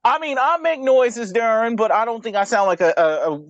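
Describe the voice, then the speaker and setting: A man's voice: very high-pitched, brisk, dry recording, dull audio. A man on a podcast panel, joking and teasing in casual group banter.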